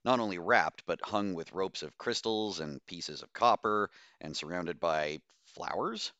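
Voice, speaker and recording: A firm woman commander in an audiobook, acted out by a man. The voice is bright and forceful, authoritative and measured as she gives orders and reassurance. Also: low-pitched, animated, smooth, dry recording, lo-fi sound, exaggerated voice. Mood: pointed.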